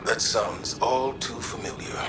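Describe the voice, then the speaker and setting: An older man playing a grave, steady military commander in scripted video game radio dialogue. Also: a little slow, close to an everyday voice. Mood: reflective. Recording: radio call with clear rumble.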